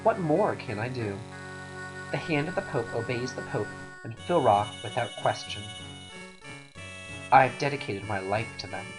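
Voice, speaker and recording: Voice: medium pitch, expressive, a little slow, pauses often, lightly acted, low-quality recording. A performer voicing a devout, defiant female church agent in a fantasy game, cool and cutting. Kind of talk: voiced game cutscene dialogue. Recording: game music behind voice. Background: music.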